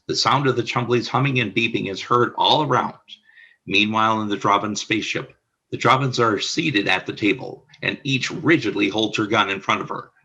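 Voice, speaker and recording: Gravelly, low-voiced male narrator. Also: normal speed, bright, forceful tone, poor audio. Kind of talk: scripted narration of a radio play.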